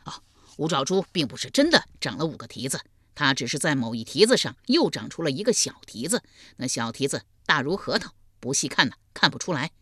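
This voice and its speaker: Woman doing a villager explaining stuff, patient, lively, dry close sound. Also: low-pitched, fairly quick, husky, no echo, full character voice.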